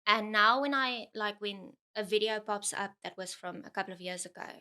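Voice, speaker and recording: Podcast guest: woman, Afrikaans accent, bright and reflective, easy laugher. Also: mid-range voice, normal speed. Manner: reflective, chatty, laughs often. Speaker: guest, content creator. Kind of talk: casual podcast chat.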